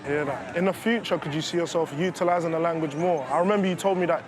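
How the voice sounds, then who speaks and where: A man's voice: a little high, fast talker, background noise. A young man, a Welsh rapper, asking interview questions in a laid-back, friendly way with some slang. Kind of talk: casual interview. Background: music and a crowd at an outdoor festival.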